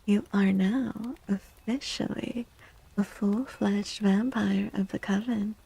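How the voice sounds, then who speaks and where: A woman streaming soft-spoken ASMR, gently chatting in a breathy, often whispery voice and softly repeating phrases in a soothing way. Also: medium pitch, steady tone, slow, low-quality recording.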